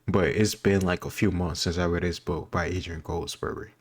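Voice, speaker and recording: A man's voice: low voice, fairly even intonation, normal speed, breathy edge. A man giving casual, off-the-cuff commentary as he answers quiz questions, wandering into explanatory asides.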